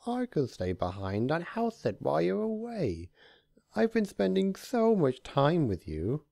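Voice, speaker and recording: Man acting scheming chaos spirit, storybook character, theatrical, grandiose, mock-sweet glee, raised swooping pitch. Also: wildly swooping pitch, a little slow, gravelly, dark tone, no room sound, studio quality, heavily acted. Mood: gleeful, mock-sweet.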